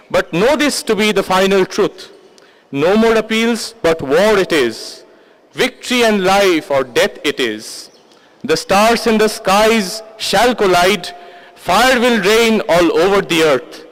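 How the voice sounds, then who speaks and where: Man acting out wrathful cosmic god, male, high-pitched, slow, solemn, commanding, declamatory verse recitation. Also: a bit bright, exaggerated voice, poor audio. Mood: grave warning. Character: wrathful male god revealing his vast cosmic form. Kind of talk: dramatic poetry recitation.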